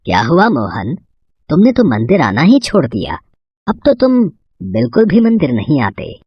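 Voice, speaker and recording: Lady doing a calm village temple priest for a kids cartoon, dropped low, gently reproachful. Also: normal speed, husky, no room sound, dull audio, heavily acted. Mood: mild reproach. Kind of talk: cartoon moral story dialogue.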